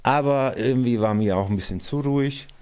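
A man in his sixties from Naples with an Italian accent, giving halting, thoughtful interview answers as an artistic director. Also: medium pitch, darkish tone, clear, resonant tone. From Naples, Italy. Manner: halting, searching for words, frequent pauses.

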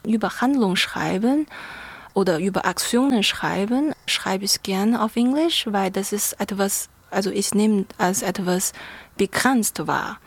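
Young female playwright interviewee, Chinese accent, unhurried, creaky voice, searching phrasing. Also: mid-range voice, dry recording. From China. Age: young adult. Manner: searching, non-native phrasing. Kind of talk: reflective interview talk.

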